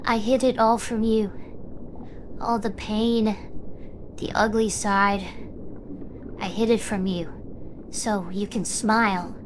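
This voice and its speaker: A woman's voice: medium pitch, steady tone, slow pace, long pauses, noisy background, close to an everyday voice. A woman voicing a young shark girl idol, bitter and wounded, in a theatrical, dramatic drama delivery. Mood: bitter, taunting.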